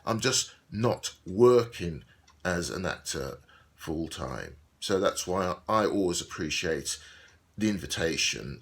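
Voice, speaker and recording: A man, an actor, talking through his thoughts in a video diary, with a slightly croaky voice and a musing, repetitive way of speaking. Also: low-pitched, fairly bright tone. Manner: musing, repeats himself, digresses. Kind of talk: reflective casual monologue.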